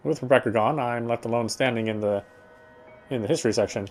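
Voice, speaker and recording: A man's voice: mid-range voice, quick pace, a little hiss or hum. A man reading visual novel narration aloud as he plays. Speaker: let's player reading a game aloud.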